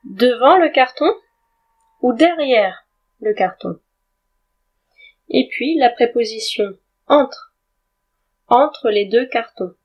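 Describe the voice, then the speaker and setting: A woman teaching a grammar lesson in a clear, deliberate way, spelling words out letter by letter. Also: fairly high pitch, lively intonation, muffled recording. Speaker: French teacher. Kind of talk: slow scripted grammar lesson.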